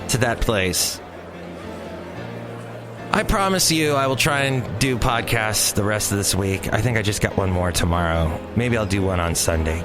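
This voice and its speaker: A man hosting his own podcast in a rambling, jokey monologue with playful asides. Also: mid-range voice. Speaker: podcast host. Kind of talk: casual solo podcast monologue.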